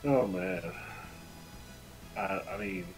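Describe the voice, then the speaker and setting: Slangy man chatting over loud game music. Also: sits low, drawn-out words. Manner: animated, slangy storytelling. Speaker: game livestreamer. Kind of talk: casual chat over gameplay. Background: video game music.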